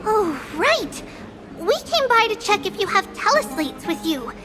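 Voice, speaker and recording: A woman's voice: extremely high pitch, big pitch swings, measured pace, full character voice. A woman voicing a tiny, cheerful childlike girl priest in a video game, bubbly, exclamatory, helpful and proud. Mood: helpful, chatty. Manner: bubbly, exclamatory, speaks of herself as "we". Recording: music behind the voice.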